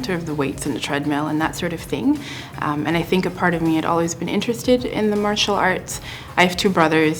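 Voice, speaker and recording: A woman in her forties from West Africa, telling her story in a thoughtful, measured way in a documentary interview. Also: sits low. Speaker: interviewee, combat sports athlete.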